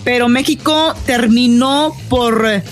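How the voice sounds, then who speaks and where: A woman's voice: on the high side, slow pace, soft background music. A woman giving football match analysis as a sports analyst, in emphatic declarative phrases.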